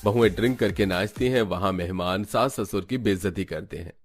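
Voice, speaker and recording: A man's voice: deep voice, brisk. A man reading scripted narration for a cartoon story. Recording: music under the voice. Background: background music.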